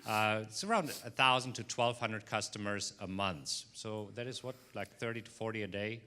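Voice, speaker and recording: A man's voice: lowish voice, moderate pace, sharp tone. A man with a foreign accent introducing himself informally as a guest speaker on stage. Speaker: guest speaker, trading business owner. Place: stage at a filmed live event.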